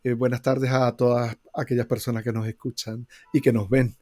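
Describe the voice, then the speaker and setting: A man's voice: medium pitch, flat delivery, normal speed, a little mellow. A man from Venezuela with a Venezuelan accent, answering interview questions thoughtfully with many fillers and restarts. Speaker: guest researcher.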